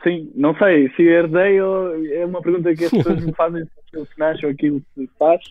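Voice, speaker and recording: A man's voice: fairly high pitch, steady tone, a little fast, crowd noise. A man in his twenties from Spain with a Spanish accent, speaking Portuguese as a guest entrepreneur, hesitant with many restarts. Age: 20s. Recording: tinny phone-like line.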